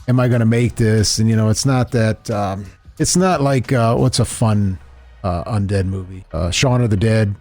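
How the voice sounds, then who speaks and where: A man's voice: lowish voice, moderate pace, darkish tone, dry recording, faint music behind. A middle-aged man hosting a podcast, chatting loosely about a tabletop game with lots of fillers and restarts. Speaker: host presenting a tabletop game. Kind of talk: casual podcast chat. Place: shop or studio room.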